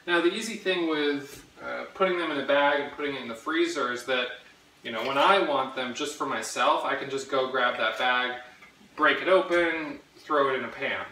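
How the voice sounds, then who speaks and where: A man's voice: mid-range voice, moderate pace, distant mic, a little hiss or hum. A man in his thirties in a casual cooking vlog, rambling with many fillers as he chops, recorded in his home kitchen by a camera across the room. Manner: rambling, relaxed, many fillers while chopping. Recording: echoey kitchen, voice from off-mic.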